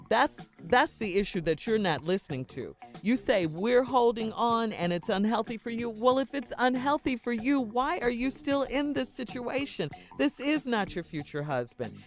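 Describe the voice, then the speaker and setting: A woman's voice: on the high side, animated, normal speed, soft background music. An American woman hosting a conversational morning radio advice segment, speaking frankly and admonishingly. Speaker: radio host.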